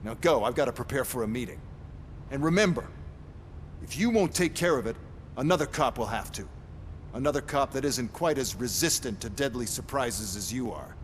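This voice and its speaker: An actor playing a curt, menacing superior who gives brusque, commanding orders in a video game. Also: male voice, animated, moderate pace, natural voice. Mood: veiled threat. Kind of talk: video game cutscene acting. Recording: long reverb, faint rumbling noise.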